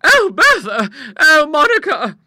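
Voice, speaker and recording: Flustered elderly maiden lady for an audiobook, shrill and bright, quavering, genteel, easily horrified. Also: very animated, moderate pace, full character voice. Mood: terrified. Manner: breathless, quavering exclamations. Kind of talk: audiobook character voice.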